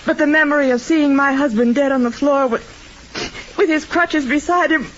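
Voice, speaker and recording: A woman's voice: high-pitched, normal speed, natural voice. A woman playing an anxious, devoted wife in melodramatic old-time radio drama style. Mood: distraught. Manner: earnest, melodramatic. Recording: dull, noisy old radio recording.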